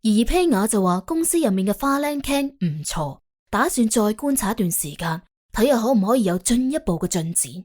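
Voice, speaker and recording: A woman narrating an audiobook in colloquial Cantonese, telling the story in an expressive, wry way. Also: on the high side, brisk, few pauses. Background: faint background music.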